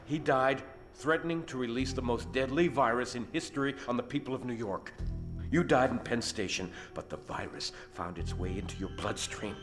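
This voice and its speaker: An actor playing a knowing, menacing insider who speaks in a slow, taunting, theatrical way in a video game cutscene. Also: male voice, mid-range voice, natural voice. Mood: accusing, knowing. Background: loud dramatic music.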